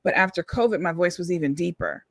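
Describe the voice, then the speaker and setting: A woman with a slightly raspy voice, speaking earnestly and encouragingly as a singer being interviewed in a heartfelt conversation. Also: on the low side, fast, dry recording, clean studio sound. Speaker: guest singer and songwriter.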